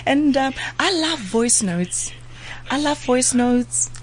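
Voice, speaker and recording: A woman host chatting casually, a bit nasal and stuffy from a flu, animated and quick to restart her sentences. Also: high voice, slight background noise, poor audio. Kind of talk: casual chat.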